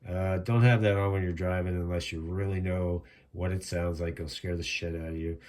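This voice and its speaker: A man with a fairly low voice talks through a piece of gear in a casual demonstration. He rambles along with a hobbyist's enthusiasm, reviewing it as he goes. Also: fairly even intonation, normal speed, darkish tone.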